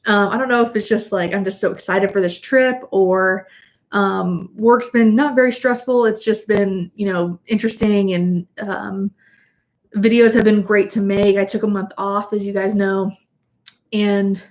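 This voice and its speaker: A young woman talks loosely to her viewers on a home livestream, her voice a little sniffly from allergies. She rambles at an everyday pace, at a middle pitch for a woman, with plenty of "um"s and restarts. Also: fairly even intonation, measured pace. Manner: chatty, rambling, with fillers and restarts. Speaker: vlogger hosting a livestream. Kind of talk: casual livestream chat. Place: at home indoors.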